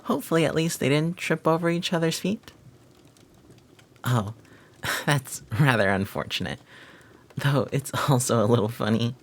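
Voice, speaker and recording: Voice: medium pitch, moderate pace, long pauses, natural voice. A performer playing a flirty, devoted maid who teases and gossips in formal, flowery phrasing, in a scripted romantic audio roleplay. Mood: gossipy, amused.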